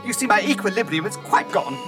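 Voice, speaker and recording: A man's voice: high voice, expressive, quick pace, music in the background. A man playing a fussy, anxious, self-pitying robot in a radio drama, prissy and theatrical in his complaints. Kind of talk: dramatised radio science-fiction acting.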